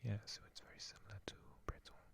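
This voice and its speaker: A man whispering softly in an ASMR reading, musing aloud and sounding out foreign words. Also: quick pace. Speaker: ASMR creator.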